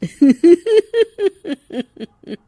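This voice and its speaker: A woman voicing a menacing, mocking witch in a cartoon horror-comedy story, with taunting, drawn-out threats. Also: very high-pitched, very animated, warm tone, no room sound, studio quality, voice pushed up, heavily acted. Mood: scornful, menacing. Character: menacing, mocking female witch who escaped from a painting.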